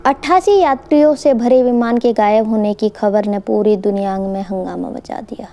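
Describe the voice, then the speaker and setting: A woman's voice: medium pitch, normal speed, warm tone. A woman narrating a mystery story for an online channel, informally addressing her listeners as host. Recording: faint background noise.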